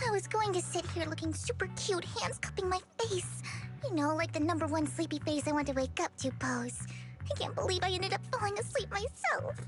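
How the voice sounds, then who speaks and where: A woman's voice: extremely high pitch, expressive, normal speed. A woman voicing a sweet, devoted and formal young woman in a video game, polite and earnest. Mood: bashful, sweet. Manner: polite, earnest, cutesy delivery. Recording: clean game dialogue with music behind.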